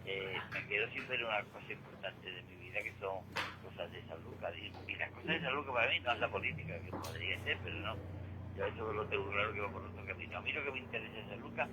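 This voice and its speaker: A man's voice: on the low side, fast. An older man giving opinions as a caller on local radio, emphatic and repetitive, seeking agreement. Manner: emphatic, repeats himself, seeks agreement. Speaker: guest caller. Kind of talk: opinionated conversational radio commentary. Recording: dull telephone line with faint noise.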